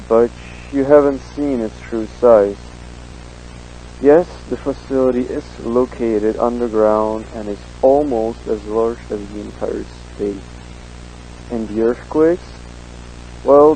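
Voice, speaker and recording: Slow, halting male researcher on hissy tape acted. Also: medium pitch, mellow, close to an everyday voice, low-quality recording. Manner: halting, uneasy. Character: nervous male head researcher, doomed whistleblower. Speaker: whistleblower researcher. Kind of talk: found-footage horror confession. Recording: hissy static-laden found-footage tape.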